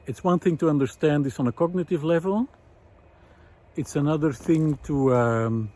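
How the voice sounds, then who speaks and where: A man talking reflectively to the camera as he walks outdoors on a mountain forest path, pausing often with fillers and restarts. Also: medium pitch, darkish tone. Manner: thoughtful, hesitant, many fillers and restarts. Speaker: vlog host. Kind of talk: reflective walking monologue.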